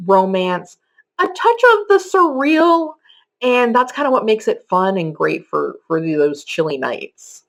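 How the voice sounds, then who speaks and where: Conversational woman presenting a countdown list. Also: medium pitch. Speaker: podcaster giving book recommendations.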